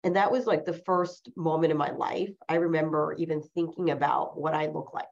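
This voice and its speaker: A woman's voice: low-pitched, steady tone, fairly quick, darkish tone. A woman in her forties, a lawyer who grew up speaking Chinese as her first language, telling personal stories in an interview with reflective, rambling phrasing and frequent self-corrections. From New Jersey, USA. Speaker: lawyer, guest. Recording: dull lo-fi recording.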